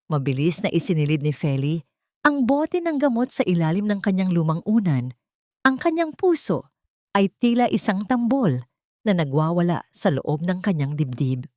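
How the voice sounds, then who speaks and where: A woman's voice: lowish voice, darkish tone, dry recording, clean studio sound. A woman narrating a scripted drama story in a dramatic style.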